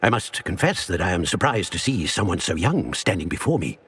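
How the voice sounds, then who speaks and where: A man playing an aged, grave and courteous wizard professor in a video game, speaking formally with a husky, grainy voice. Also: low voice, sing-song, fairly bright tone, heavily acted. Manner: formal, measured, old-fashioned. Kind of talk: video game character acting.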